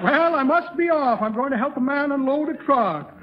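An actor playing a drawling comic visitor in a 1930s radio comedy. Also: male voice, very high voice, expressive, moderate pace. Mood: fussy. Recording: muffled, very noisy 1930s radio recording.

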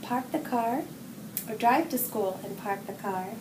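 Middle-aged female storybook reader, expressive and deliberate for young kids; roomy, noisy recording. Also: a little high. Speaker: children's story reader, hospital executive assistant. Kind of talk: picture book read-aloud for young children. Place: indoor room with wall decorations. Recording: roomy echo, distant mic, clear background noise.

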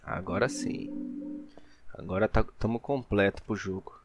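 Low-voiced young Brazilian man gaming. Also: unhurried, music in the background. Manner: informal, slangy, joking. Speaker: gaming video host. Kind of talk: casual gameplay commentary. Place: small room indoors. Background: game ambience.

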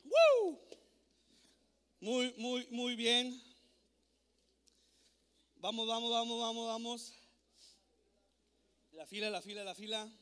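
A man, speaking in a strikingly high, bright voice that rings through a large auditorium's long reverb. He preaches to a youth crowd on a handheld mic, teasing and coaxing them with jokes. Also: expressive, slow pace, long pauses, clean tone. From born in Mexico, raised in Texas. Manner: playful, joking, coaxing the crowd. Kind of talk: lively youth sermon. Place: large church auditorium with stage. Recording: echoey hall recording.

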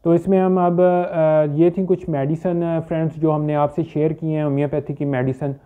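A man, a homeopathic doctor giving an informal, halting medical explanation to camera with English terms mixed in. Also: a little high, flat delivery. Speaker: homeopathic doctor presenting a health video. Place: small furnished indoor room. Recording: dull, limited-bandwidth phone-like sound.

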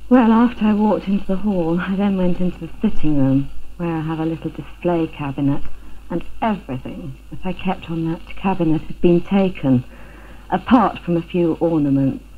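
Middle-aged Englishwoman, interviewee, lower register, recounting personally; thin 1970s film audio with some hiss. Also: normal speed. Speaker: interviewee, burglary victim. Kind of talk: personal account in a documentary interview. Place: living room at home, indoors. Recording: tinny phone-like 1970s film sound, noisy.

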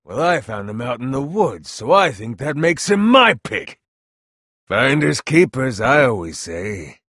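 An actor playing a gruff, hard-bargaining butcher and street vendor in a video game, with a gravelly, raspy voice and a brash, folksy huckster manner. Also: male voice, mid-range voice, big pitch swings, unhurried, fairly bright tone, dry recording, studio quality, heavily acted. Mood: smug, dismissive. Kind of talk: video game character voice lines.

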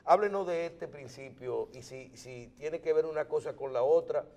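Host guy, kinda high voice, long questions w lots of restarts, studio lapel mic. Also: normal speed, creaky, darkish tone. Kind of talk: interviewing.

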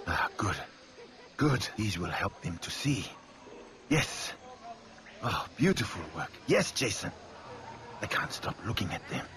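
A man's voice: lowish voice, very animated, a little slow, pauses often. A male actor playing an earnest, unsettlingly eager island villager in a video game, solemn and gushing by turns. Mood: gushing, creepy. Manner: solemn, turning gushing and creepy. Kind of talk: video game character acting.

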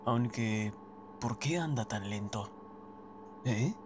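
A man's voice: lowish voice, expressive, takes it slowly. A man with a Latin American accent playing a timid, stammering teenage gamer in a fan-dubbed webtoon. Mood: muttering, bewildered. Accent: Latin American Spanish. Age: young adult. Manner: stammering, breathless, hesitant. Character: timid, panicky teenage boy, a reclusive gamer. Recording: faint background noise.

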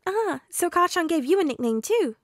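A woman's voice: very high voice, sing-song, normal speed, no echo, studio quality, voice pushed up. A woman voicing a cheeky teenage boy in an audiobook story, speaking with a teasing, put-on sweetness. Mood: sweetly teasing.

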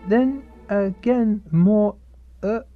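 A woman's voice: mid-range voice, phone-quality sound, music in the background. A woman playing a literal-minded reader in a radio comedy, stumbling as she sounds out words of romance fiction. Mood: halting reading. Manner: halting reading, sounding words out.

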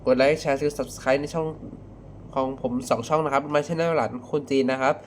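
A young man talking casually in a reaction vlog, excitable and full of exclamations. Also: mid-range voice, normal speed. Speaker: video creator reacting to a horror clip. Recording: faint background noise.

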